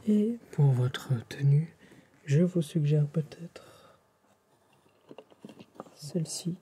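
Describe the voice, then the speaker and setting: A Frenchman speaks in a hushed, breathy whisper, very unhurried and mellow. He plays an attentive tailor in an ASMR roleplay, with courteous, formal wording. Faint noise sits behind his voice. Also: a little high. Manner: polite, formal, attentive shopkeeper address.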